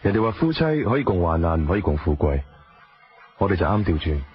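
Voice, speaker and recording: Hong Kong accent, lowish pitch, weary wounded husband, earnest radio-drama delivery, muffled recording. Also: male voice, fast talker, breathy edge. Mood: reflective, rueful. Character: weary estranged husband, taxi driver, earnest but wounded. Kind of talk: radio drama acting, with dialogue and reflective narration. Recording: dull lo-fi radio drama audio.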